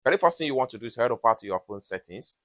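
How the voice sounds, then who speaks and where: A man's voice: medium pitch, lively intonation, very fast, a bit bright, dry recording. A man hosting a step-by-step phone tutorial, giving instructions in repetitive phrases as a close-miked voice-over. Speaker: tutorial host.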